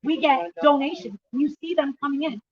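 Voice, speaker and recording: A woman's voice: high voice, normal speed, dry recording. A woman activist chiming in during an impromptu press interview in an insistent, defensive way. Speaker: livestreamer activist joining an interview. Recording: muffled lo-fi audio, faint background noise.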